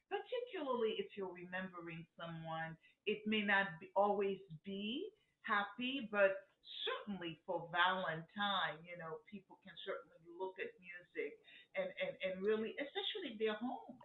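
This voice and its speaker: A woman's voice: mid-range voice, big pitch swings, normal speed. A woman hosting a casual conversation, guiding it along and summing up her guest's points. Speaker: host. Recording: echoey, dull room sound.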